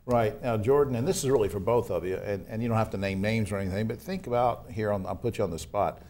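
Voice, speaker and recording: Low-voiced male talk show host, noisy recording. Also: fast, darkish tone. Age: 60s. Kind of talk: conversational broadcast interview.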